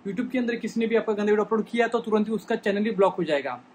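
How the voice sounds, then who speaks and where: A man's voice: high voice, very fast, a little mellow, slight background noise. A young man explaining informally and emphatically to viewers, repeating points, recorded in a small room. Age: young adult. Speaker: vlogger warning about scams. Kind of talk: explanatory talk to camera.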